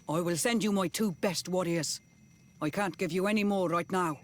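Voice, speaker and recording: Male actor as clan chief, high voice, terse, stately, guarded, authoritative, video game. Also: moderate pace, close to an everyday voice. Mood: guarded, commanding. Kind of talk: scripted video game acting.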